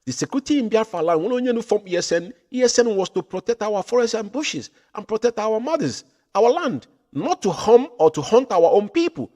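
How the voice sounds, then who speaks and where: A man hosting a political commentary show from home, building into loud, impassioned rants. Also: sits high, very animated, fairly quick, hardly any pauses, dull audio. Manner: rises to loud impassioned ranting. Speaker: show host.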